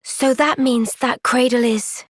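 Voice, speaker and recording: A soft-spoken Welsh young soldier. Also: female voice, a little high, steady tone, a little slow, dry recording, clean studio sound. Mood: calm. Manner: soft-spoken, caring, thoughtful. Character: young woman soldier, gentle, kind and steady. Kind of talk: video game cutscene dialogue. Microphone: close studio microphone.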